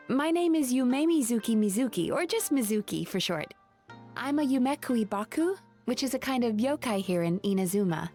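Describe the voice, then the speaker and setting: An actress playing a gentle, apologetic young female yokai counselor in a video game, speaking softly and politely. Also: on the high side, animated, moderate pace. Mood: friendly, explaining. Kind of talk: scripted video game voice acting. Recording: music under the voice.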